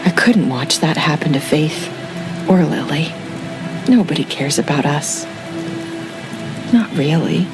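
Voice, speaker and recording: A guilt-ridden young woman talks haltingly and pleads, with restarts. Loud music plays under her in the game audio. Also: sits low, lively intonation, low-quality recording. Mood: earnest.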